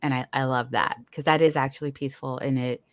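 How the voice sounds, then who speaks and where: A woman's voice: rumbling low, steady tone, fast talker, no echo, studio quality. A woman hosting an interview in a casual, chatty way, laughing easily and restarting her sentences as she talks. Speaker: podcast host.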